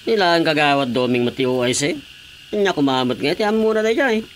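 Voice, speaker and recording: A man's voice: fairly high pitch, animated, brisk, lightly acted. A man acting as a crafty village cockfight gambler, always scheming, with an Ilocano accent. The delivery is comic radio drama, recorded with faint background noise. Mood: reassuring, scheming.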